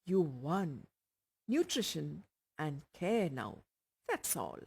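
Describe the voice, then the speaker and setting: A woman's voice: low-pitched, big pitch swings, slow pace, creaky, husky, voice pushed down, exaggerated voice. A woman voicing a matter-of-fact male doctor in a storybook, clipped and clinical.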